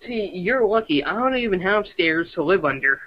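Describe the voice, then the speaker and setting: A man co-hosting a podcast in casual chat, tossing in deadpan quips. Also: high voice, moderate pace, dry recording. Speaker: podcast co-host. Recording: dull, lo-fi mic sound.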